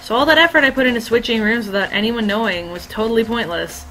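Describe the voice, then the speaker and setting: A woman with a slightly hoarse voice, voicing an earnest, easily flustered teenage boy student. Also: on the high side, fast, faint music behind, rough recording. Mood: puzzled. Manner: reads game text aloud in a plain, bright tone.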